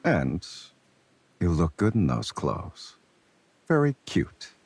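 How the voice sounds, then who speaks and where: Very deep, husky teasing charmer. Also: male voice, huge pitch swings, a little mellow, exaggerated voice. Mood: amused, sincere. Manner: unhurried, playful teasing. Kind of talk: romance game voice acting. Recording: music behind the voice.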